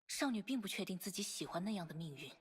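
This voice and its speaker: Lively-pitched game story narrator woman. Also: quick pace, slightly rough. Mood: reflective narration.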